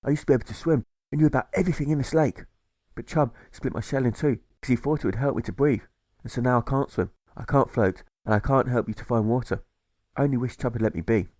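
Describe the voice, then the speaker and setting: A man's voice: mid-range voice, quick pace, a little mellow, no echo, close to an everyday voice. A man voicing a helpless, aggrieved female clam in a storybook fable, complaining emphatically.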